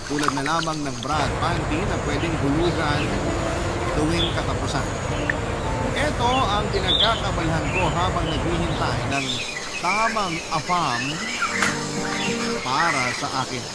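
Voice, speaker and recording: A man's voice: a little high, measured pace, long pauses, heavy background noise. A man reading out a listener's letter as earnest scripted narration, addressing the host by name. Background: loud background music.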